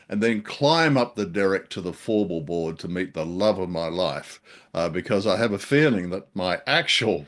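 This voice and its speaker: Low-pitched middle-aged man, jocular co-host. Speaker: podcast co-host. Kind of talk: casual review chat.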